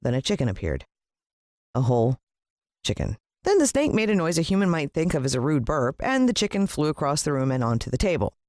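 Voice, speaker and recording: A woman with an American accent giving a dramatic, expressive scripted narration of a children's story. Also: low-pitched, brisk, no echo, studio quality. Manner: dramatic, playing a child's first-person point of view.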